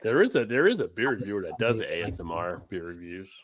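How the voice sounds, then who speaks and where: A man dropping wry, quick quips in a casual group chat. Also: low-pitched, big pitch swings. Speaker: guest on a beer livestream.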